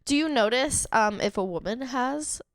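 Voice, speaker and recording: Woman, hoarse voice, lively up-and-down pitch, fairly high, chatty, laughs a lot, casual podcast co-host. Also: normal speed, no echo, clean studio sound. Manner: chatty, laughs often, many fillers. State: hoarse, getting over laryngitis. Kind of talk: casual chat.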